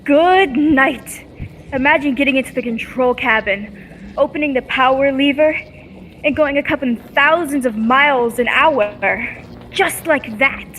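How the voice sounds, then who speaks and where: A woman's voice: sits high, a little slow, fairly bright tone, a little hiss or hum, low-quality recording. A young woman playing a curious, wide-eyed space adventurer in an old-time radio serial. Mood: curious, amazed.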